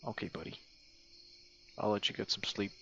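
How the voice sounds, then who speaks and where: A man's voice: on the low side, steady tone, moderate pace, sharp tone, lo-fi sound, a little hiss or hum. A man recording a casual ASMR-style voice message to a friend, rambling and hesitant in an informal way. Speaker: content creator recording an audio message. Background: crickets and night insect sounds.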